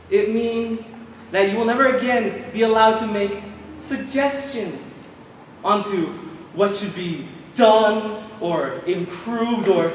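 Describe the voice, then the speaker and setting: Stage play: male actor as patronising, quietly menacing authority figure, high-pitched and very slow, distant in a reverberant hall. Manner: patronising, drawn-out, theatrical. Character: condescending male overseer of girls, saccharine, quietly menacing. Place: theater stage, distant microphone. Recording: echoey noisy theater hall from far off.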